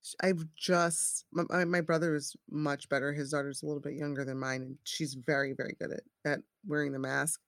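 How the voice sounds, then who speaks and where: A woman in her forties, a parent who works in scrubs, chatting casually in halting speech with many restarts. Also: sits low, level tone, dry recording, studio quality. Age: 40s. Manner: halting, restarts often, says "like" and "you know". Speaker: healthcare worker and parent. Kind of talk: casual chat.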